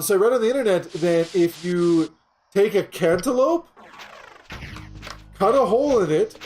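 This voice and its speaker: Pennsylvania guy w/ a high voice, deadpan rambling story, faint game music under it. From Pennsylvania, USA. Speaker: show co-host, gamer. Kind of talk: casual gaming podcast chat.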